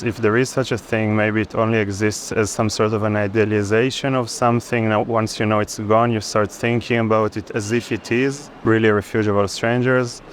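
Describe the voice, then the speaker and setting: Over a noisy urban background, a man in his thirties speaks in a low voice with an Israeli accent. He explains his art reflectively, halting and searching for the right words. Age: 30s. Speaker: artist interviewed. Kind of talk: reflective explanation of own artwork. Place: busy art fair. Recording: clear urban background noise at fair.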